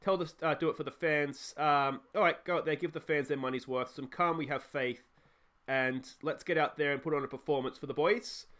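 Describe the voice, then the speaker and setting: An Australian man hosting a casual football management game let's play, a little croaky from a cold, chatting and reacting excitedly to match highlights. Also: fairly quick. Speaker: gaming channel host.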